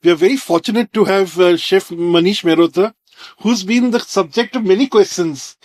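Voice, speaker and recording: A man's voice: sits high, moderate pace, darkish tone, no echo. A man with an Indian English accent hosting an interview show, rambling through his introductions with frequent uhs and restarts. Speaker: host.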